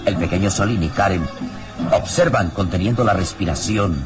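A man's voice: sits low, over music, lightly acted. A male actor narrating a radio serial as a solemn, suspenseful storyteller with declamatory phrasing. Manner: declamatory, ornate, deliberate phrasing.

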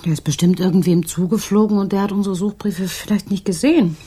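A woman's voice: medium pitch, fairly even intonation, quick pace, warm tone, slightly rough, natural voice. An actress playing a patient but firm mother, colloquial and coaxing, in a naturalistic children's radio play. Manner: colloquial, coaxing, matter-of-fact. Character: patient, firm mother of two young daughters.

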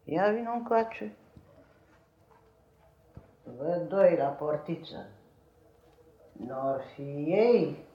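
An actress playing a stern, blunt older great-aunt in a radio play, with a commanding, dryly sarcastic delivery. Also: female voice, fairly low pitch, lively intonation, long pauses, warm tone, lightly acted. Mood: stern, guarded. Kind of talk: 1950s radio theatre acting. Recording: dull 1950s radio archive recording.

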